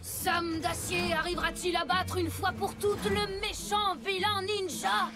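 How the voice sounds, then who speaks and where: Dramatic lil cartoon bike buddy, really really high voice, playful, loud music going. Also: female voice, little pitch movement, measured pace. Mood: dramatic announcing. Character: enthusiastic, theatrical young cartoon motorbike pal. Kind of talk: children's cartoon dub.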